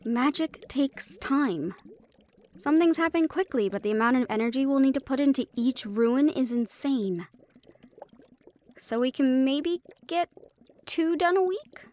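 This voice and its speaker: A woman's voice: high-pitched, expressive, a little slow, a little mellow. A woman playing a helpful, knowledgeable magic-shop keeper in a fantasy audio roleplay, explaining things with light teasing.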